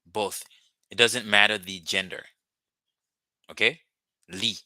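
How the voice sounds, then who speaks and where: A young Haitian man with a Haitian accent, a native Haitian Creole speaker, teaching a live online language lesson and patiently repeating words slowly for his learners. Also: lowish voice, fairly even intonation, sharp tone, no echo, studio quality.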